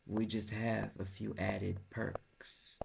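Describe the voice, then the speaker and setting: Man playing a slow, gravelly Southern incubus. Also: fairly low pitch, flat delivery, background noise, full character voice. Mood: guarded, stern. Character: alluring male incubus, ancient suave flirtatious business dealer. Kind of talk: roleplay audio drama, intimate second-person address.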